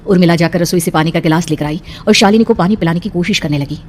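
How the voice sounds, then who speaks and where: A woman's voice: fairly low pitch, fast talker, a little mellow, a little hiss or hum. A woman narrating a scripted horror cartoon story in a dramatic storytelling style.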